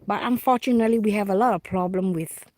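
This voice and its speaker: A woman from Singapore with a Singaporean accent, chatting casually on a live stream while fishing outdoors, halting with fillers and restarts. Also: mid-range voice, darkish tone. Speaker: vlogger.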